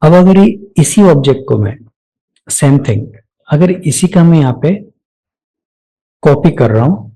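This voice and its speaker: A man with an Indian accent narrating a step-by-step software tutorial, explaining each action aloud as an instructor. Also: a little high, lively intonation, unhurried, long pauses, low-quality recording.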